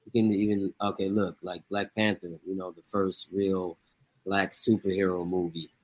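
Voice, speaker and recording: A man on a chat show, talking in a relaxed, agreeable way. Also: low voice, moderate pace, no room sound, rough recording. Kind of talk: casual chat.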